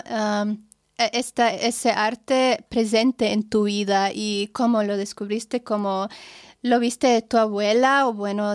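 A woman interviewer from Europe asking informal questions in a halting way, searching for words. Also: fairly high pitch, no room sound, studio quality.

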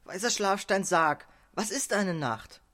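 Woman doing a excitable little dwarf guy for a kids audio play, naive, stammery, full of wonder. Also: mid-range voice, unhurried. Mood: puzzled. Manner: stammering, eager, breathless wonder. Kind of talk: children's radio play acting.

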